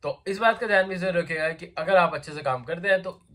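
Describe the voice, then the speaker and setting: A man's voice: high voice, a little fast, a bit bright. A man hosting a job vacancy video, explaining in casual Hindi mixed with English, with a sore throat and an occasional cough. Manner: chatty, repetitive fillers, conversational address to viewers. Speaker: job vacancy channel host.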